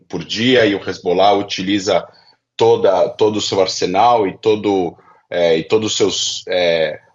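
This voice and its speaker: A man's voice: sits low, lo-fi sound. A man with a Brazilian accent giving didactic analyst commentary, laying out his points step by step. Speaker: political analyst, interview guest. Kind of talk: explanatory commentary.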